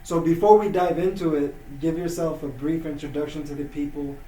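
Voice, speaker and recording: Male interview host, slightly high voice, devout phrasing, roomy echo and faint hiss. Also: steady tone, fairly quick. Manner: warm religious phrases, often says alhamdulillah. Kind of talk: casual interview with religious opening.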